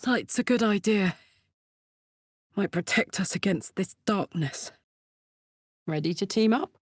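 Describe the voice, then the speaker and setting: Up close and very dry, a battle-hardened woman warrior from a fantasy video game. She is unhurried and earthy, speaking bluntly and informally, with an impulsive streak and a big heart. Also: medium pitch, lively intonation, no room sound. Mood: wry, casual. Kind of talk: video game character voice acting. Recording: dry close studio recording.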